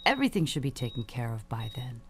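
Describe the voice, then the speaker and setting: An actress playing an elderly, imperious, manipulative dying matriarch in an audio suspense drama, haughty and theatrical in manner. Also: female voice, a bass voice, very animated, fast talker, slight background noise. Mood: solemn, coaxing. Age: older.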